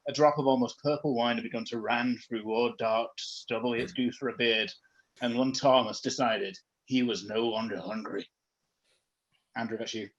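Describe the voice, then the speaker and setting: A man's voice: medium pitch, steady tone, normal speed, highs cut off. A man reading fan fiction aloud for comedy, often breaking off into amused, self-mocking asides.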